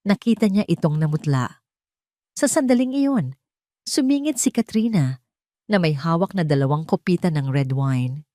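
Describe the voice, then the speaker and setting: Female audio drama narrator, low and mellow, recorded dry, telling a scripted story. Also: lively intonation, normal speed, pauses often, no echo.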